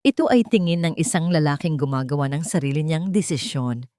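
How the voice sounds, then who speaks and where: A woman's voice: deep voice, animated, fairly quick, dark tone, no room sound, studio quality. A woman narrator reading a scripted romantic drama as a storyteller.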